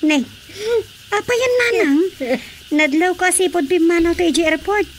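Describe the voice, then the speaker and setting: A woman's voice: extremely high pitch, lively intonation, normal speed, a little hiss or hum. A woman with an Ilocano Filipino accent, acting a sassy, scolding young woman in a radio comedy drama. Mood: chatty, concerned.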